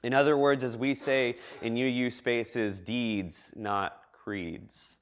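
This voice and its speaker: A man preaching a reflective message to a congregation in a measured, thoughtful way with deliberate pauses. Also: medium pitch, steady tone, smooth. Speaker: preacher giving a church message. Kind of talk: reflective sermon addressed to a congregation.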